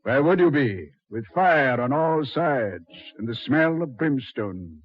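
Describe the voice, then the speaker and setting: An actor playing a suave, mocking Devil in a measured, mock-polite 1940s radio horror style, on a muffled old recording. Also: male voice, mid-range voice, animated. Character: the Devil, suave, mocking, coldly taunting male master of hell.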